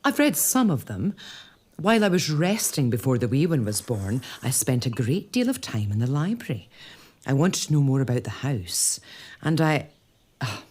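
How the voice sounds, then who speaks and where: A low-voiced lady of an old castle. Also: sing-song, normal speed, darkish tone, slightly rough, full character voice. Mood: hesitant. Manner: hesitant, apologetic. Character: young mother, lady of an old castle, curious. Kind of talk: period audio drama acting.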